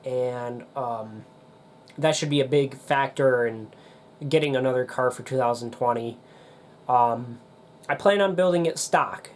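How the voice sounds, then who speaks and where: A young man with a stuffy, nasal voice from a cold, rambling casually to camera with many pauses and fillers. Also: mid-range voice. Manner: rambling, hesitant with many ums. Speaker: demolition derby YouTuber.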